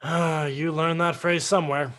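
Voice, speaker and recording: A woman's voice: deep voice, fairly even intonation, moderate pace, a bit bright. A woman playing a sardonic, wounded young son needling his mother, in a staged play reading on a video call.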